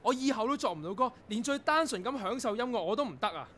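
An actor in a Cantonese radio drama playing a tormented young composer, curt and dramatic, with a Hong Kong accent. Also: male voice, extremely high pitch, lively intonation, fast, a bit bright, slight background noise. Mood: anguished outburst. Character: tormented young male composer, curt, anguished, losing control of his ears.

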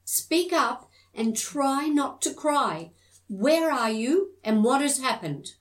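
A man voicing a frantic middle-aged mother, shrill and pleading as she tries to calm her daughter in a story reading. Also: a little hiss or hum, exaggerated voice. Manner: shrill, pleading, slow and strained.

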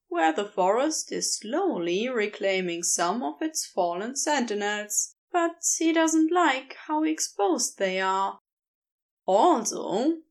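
Slow, lively woman narrating a story. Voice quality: lively, expressive reading with wide pitch swings. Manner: slow, deliberate storytelling pace. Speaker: podfic reader. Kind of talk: scripted narration of fan fiction.

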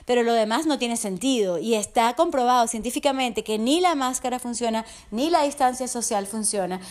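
A slightly hoarse woman speaking as a coach in an earnest, heartfelt motivational podcast monologue, often checking in with the listener. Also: on the high side, animated, fairly quick, a little mellow. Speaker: resilience and fitness coach.

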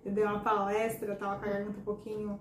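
A hoarse woman hosting a casual podcast conversation, speaking earnestly and addressing listeners directly. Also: mid-range voice, completely flat delivery, rapid-fire. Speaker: podcast host and hypnotherapist. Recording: echoey room with faint background noise.

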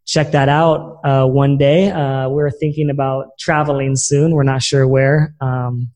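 A man's voice: mid-range voice, flat delivery, a little slow, darkish tone. A man hosting an interview, speaking in a casual, conversational way. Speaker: interviewer, podcast host.

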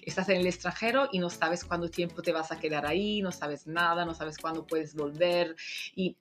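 A woman who learned Spanish as a second language, talking casually as a doctoral researcher and pausing often with fillers. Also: fairly low pitch. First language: not Spanish. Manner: hesitant, many fillers and restarts. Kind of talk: casual conversation.

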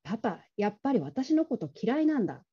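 A woman's voice: on the high side, big pitch swings, fast, husky, exaggerated voice. A woman playing a young adult daughter who casually teases and wheedles her father in a radio drama. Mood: pleading. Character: coaxing, teasing grown daughter pleading with her father. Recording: dull lo-fi audio.

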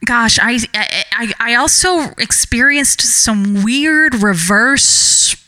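Brief: middle-aged woman podcast co-host, very bright voice, dry close sound, earnest and reflective, chatty fillers. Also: fairly high pitch, lively intonation, slow, no room sound. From Puerto Rico heritage, raised in the US. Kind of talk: casual conversational podcast.

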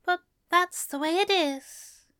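A woman voicing a sad, gentle male author with a genteel, old-fashioned politeness, reading from a story. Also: very high-pitched, measured pace, no echo, studio quality, pitched above the performer's own voice. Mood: sad, resigned.

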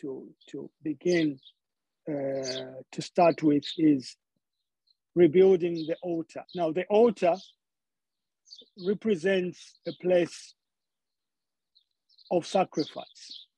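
A man's voice: on the high side, slow, long pauses, warm tone, slightly rough, dry recording, poor audio. A man from Zambia preaching a sermon, often repeating words as he searches for the next phrase.

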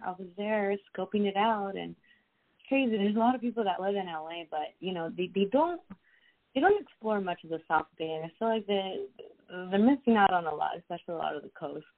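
A woman's voice: medium pitch, animated, normal speed. Young woman on a tinny phone line. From Los Angeles, USA. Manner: chatty, many fillers and restarts. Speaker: podcast guest, social media creator. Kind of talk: casual interview chat.

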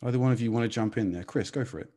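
A man's voice: mid-range voice, rapid-fire, dark tone. A man co-hosting a podcast, asking questions in a curious, thoughtful way. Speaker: podcast co-host. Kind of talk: casual interview talk.

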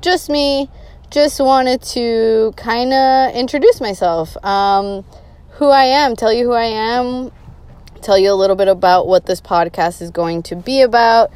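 A woman's voice: a little high, a little slow, darkish tone, clear, resonant tone, no room sound. A woman in her twenties from Boston, talking casually and candidly as a podcast host, with lots of ums. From Boston, USA. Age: 20s. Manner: chatty, candid, with many ums and fillers. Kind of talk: casual solo podcast chat.

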